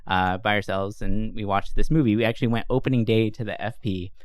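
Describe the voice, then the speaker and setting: A man's voice: lowish voice, fairly even intonation, brisk, no room sound. A man co-hosting a podcast, chatting casually and enthusiastically about movies in a rambling, giggly storytelling way. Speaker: podcast co-host reviewing movies. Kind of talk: casual movie-review chat.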